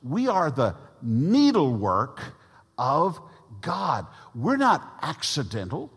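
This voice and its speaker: A man preaching a sermon to his congregation, with a big voice that swings between loud and soft emphasis. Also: a little high, wildly swooping pitch, takes it slowly, breathy edge. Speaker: pastor. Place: church sanctuary. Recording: long reverb of a large church hall.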